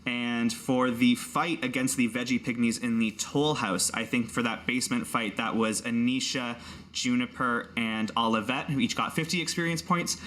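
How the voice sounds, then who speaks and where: Bright-voiced man running a tabletop game. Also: medium pitch, steady tone, moderate pace. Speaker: game master and host. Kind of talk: tabletop game narration, scripted narration and casual asides.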